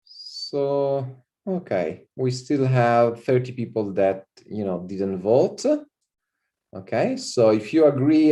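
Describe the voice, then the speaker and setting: A man from Italy with an Italian accent, a visiting professor giving an online university lecture on a video call, relaxed and full of fillers and repeated "okay". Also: medium pitch. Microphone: computer mic on a video call.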